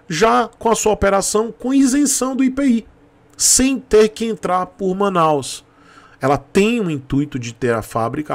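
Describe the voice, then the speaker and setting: Male vlogger, thirties, Bahian Brazilian accent, slightly high voice, emphatic informal commentary, repeats points for stress, close desk mic. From Salvador, Bahia, Brazil. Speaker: YouTube host, motorcycle commentator. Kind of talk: informal opinion commentary to camera. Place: small home room with shelves, indoors. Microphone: desk microphone close in front.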